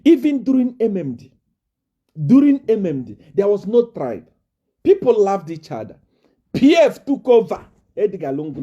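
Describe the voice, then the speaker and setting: A man from Zambia preaching a fiery live-stream address, repeating himself and rising to shouts and laughter. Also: fairly high pitch, slightly rough.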